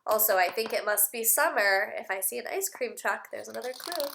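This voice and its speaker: A woman teacher doing an animated read-aloud for young children, with exaggerated emphasis, simple explanations, questions and bits of singing. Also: on the high side, fairly quick, creaky, slight background noise. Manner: simple explanatory phrasing with repeated words. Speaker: speech-language teacher reading aloud.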